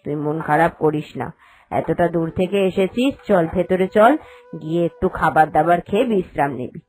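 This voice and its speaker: A woman's voice: lowish voice, moderate pace, darkish tone, lightly acted. A woman voicing a protective parent who soothes and reassures a grown daughter in a cartoon story. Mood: consoling. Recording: voice over background music.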